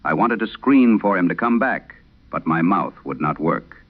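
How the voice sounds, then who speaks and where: Very deep, tinny vintage radio horror narrator man. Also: lively intonation. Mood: ominous, tense. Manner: measured, solemn, deliberate phrasing. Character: late-night radio host gravely reading a frightened mother's letter. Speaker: horror audio drama host reading listeners' letters. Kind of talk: scripted horror storytelling, vintage radio drama. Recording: tinny band-limited old radio sound.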